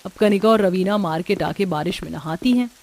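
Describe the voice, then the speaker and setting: A woman's voice: medium pitch, brisk, a little mellow. A woman reading scripted storytelling narration for a cartoon. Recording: music bed behind the voice. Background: background music.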